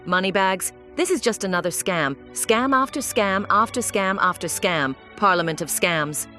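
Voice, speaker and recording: A woman's voice: medium pitch, lively intonation, moderate pace, pushed and bright. A woman playing a defiant, taunting female bird in an audio play, speaking in a declamatory way. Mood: indignant. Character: defiant, mocking female bird, disillusioned former propagandist. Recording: music behind the voice.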